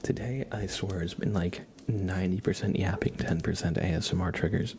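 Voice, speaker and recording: A man hosting an ASMR livestream, chatting casually with viewers in a soft, breathy whisper, rambling and gently playful. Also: deep voice, steady tone, moderate pace, a little hiss or hum.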